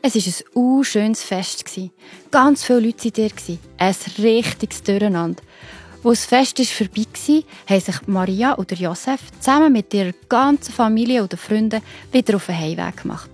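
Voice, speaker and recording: A woman narrates a children's story in a Swiss German accent. Her voice sits at a medium pitch, and she explains things simply and expressively over soft music. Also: expressive, fairly quick, slightly rough.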